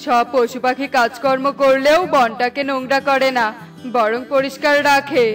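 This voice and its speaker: A woman's voice: sits high, steady tone, normal speed, faint music behind, natural voice. A woman voicing a talkative, blunt forest bird in a children's cartoon, with an emphatic delivery. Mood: proud, welcoming.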